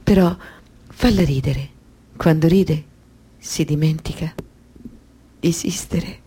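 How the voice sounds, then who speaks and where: An actress playing an anguished woman who survived abuse, in an intense, deliberate radio-drama monologue. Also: low voice, warm tone, slight background noise. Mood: sorrowful.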